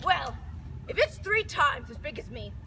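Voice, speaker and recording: Woman playing a shrill, blustering giant, very noisy recording. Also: big pitch swings, a little slow, bright, forceful tone, exaggerated voice. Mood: dim, grudging. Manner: blustering, boastful. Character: boastful, dim-witted, blustering male Irish giant. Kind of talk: loud comic storytelling theatre acting.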